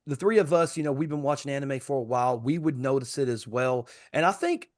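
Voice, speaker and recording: A man's voice: mid-range voice, a little fast, no echo, studio quality. A man hosting a casual podcast interview, putting questions to a guest in everyday conversational speech.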